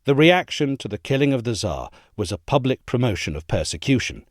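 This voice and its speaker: A man reading an essay aloud as a narrator, in a measured, articulate and formal delivery. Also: mid-range voice, big pitch swings, no echo, studio quality.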